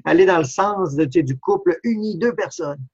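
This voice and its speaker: Husky Québécois man asking questions. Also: sits high, brisk, a little mellow, dry recording, dull audio. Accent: Québécois French. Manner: casual, hesitant with many fillers. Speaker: psychologist host. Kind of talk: interview.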